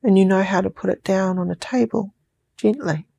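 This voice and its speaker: Give me a New Zealand woman hypnotist with a mellow, gravelly voice, soft and soothing. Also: mid-range voice. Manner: slow, soothing, drawn-out phrasing with soft whispered words. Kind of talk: guided sleep hypnosis.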